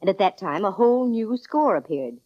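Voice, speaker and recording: A woman's voice: medium pitch, very animated, normal speed, dry recording. An actress playing a wisecracking, confident female private eye, narrating and talking in 1940s radio drama style. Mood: keen, explaining. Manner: breezy, slangy wisecracks. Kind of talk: 1940s radio detective drama acting, first-person narration. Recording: dull vintage radio recording with music.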